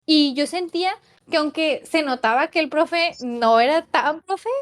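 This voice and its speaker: A young woman talks through a class she watched in an easy, casual way. Her voice sits high, and she hedges and qualifies her points, filling pauses as she thinks. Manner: reflective, hedging, many fillers. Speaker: language teaching student. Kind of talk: casual conversation analyzing a class.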